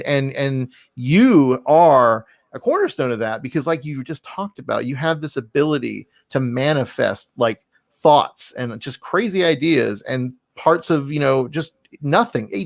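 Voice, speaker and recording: A man hosting a casual podcast interview, talking in a halting way with repeats and rephrasing. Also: medium pitch, gravelly, no echo, studio quality. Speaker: podcast host.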